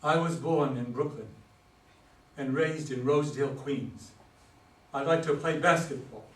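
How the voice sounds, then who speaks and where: A man's voice: mid-range voice, unhurried, natural voice. A man playing a solemn, delusional young man who claims to be God's son, earnest and preachy, in a staged play reading. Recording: echoey room, distant microphone.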